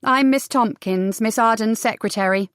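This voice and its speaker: A woman's voice: on the high side, moderate pace, fairly bright tone, clear, resonant tone, dry recording, clean studio sound, natural voice. A woman playing a severe, confident female secretary in an audiobook, formal and businesslike. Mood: formal, brisk. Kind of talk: audiobook character dialogue.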